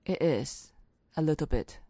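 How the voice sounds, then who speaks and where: A woman's voice: sits low, a little slow, mellow, dry recording, studio quality. A woman playing a stern, blunt outlaw gunslinger in a western radio drama, terse and matter-of-fact.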